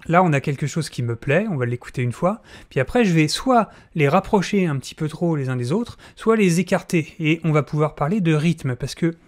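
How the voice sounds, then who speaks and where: A middle-aged man presents a tutorial in an informal way. His voice is fairly high for a man. He speaks close to a desk microphone at home. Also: expressive, a little fast, few pauses. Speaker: audio fiction tutorial host. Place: small room at home. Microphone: close desk microphone, wearing headphones.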